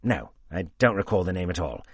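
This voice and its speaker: A man's voice: low voice, very animated, a little fast, husky, dry recording, clean studio sound, full character voice. A man voicing a brusque young man in his late twenties who speaks curtly and scornfully. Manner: curt and clipped, turning scornful and lecturing.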